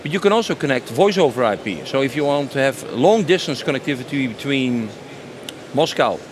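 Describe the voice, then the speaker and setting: A man in a mid-pitched voice. He talks spontaneously and enthusiastically, often repeating a phrase for emphasis. The recording has obvious background noise, as on a busy exhibition floor. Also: expressive, brisk. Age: 50s. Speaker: company sales director being interviewed. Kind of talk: spontaneous interview answers. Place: trade show stand.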